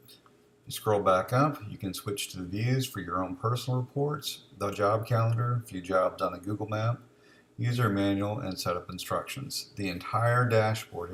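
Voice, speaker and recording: A man with a low voice talks through a software screencast one step at a time. His delivery is informal and instructional. The recording is clearly noisy, with keyboard typing and mouse clicks behind him. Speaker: software demonstrator. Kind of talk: casual step-by-step screencast walkthrough. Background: noise with keyboard typing and mouse clicks.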